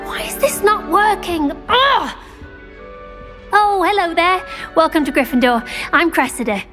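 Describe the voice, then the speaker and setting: A young woman playing an earnest, easily frustrated teenage witch with a British accent, crisp and emphatic. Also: extremely high pitch, expressive, measured pace, fairly bright tone, faint music behind, full character voice. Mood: polite, eager. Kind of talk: scripted game dialogue.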